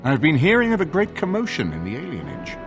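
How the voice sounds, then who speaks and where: A man's voice: on the high side, huge pitch swings, fast, music in the background. A man playing a commanding, urgent elder nobleman in a fantasy game, authoritative and formal. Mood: urgent, grave. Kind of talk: dramatic fantasy game acting.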